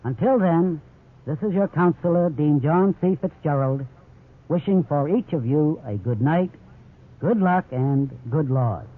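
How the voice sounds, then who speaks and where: Husky male counselor on tinny old radio. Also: a little high, expressive, measured pace. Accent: American. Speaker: law school dean, legal counselor on radio. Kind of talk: formal scripted radio address. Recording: tinny 1950s radio recording.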